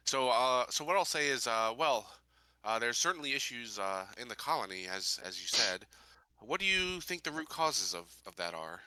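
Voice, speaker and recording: A man playing a tabletop roleplaying game, chatting and improvising questions in a halting, thinking-aloud way. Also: medium pitch, very animated, bright, forceful tone, dry recording, rough recording. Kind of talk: casual improvised roleplay chat.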